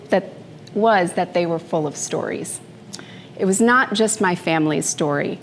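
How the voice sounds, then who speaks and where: A woman in her fifties from the Philippines, giving a personal talk to a live audience in an unhurried, conversational way with fillers and asides. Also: lowish voice, a little hiss or hum. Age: 50s. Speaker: speaker at a morning talk. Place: event room before an audience. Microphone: handheld microphone.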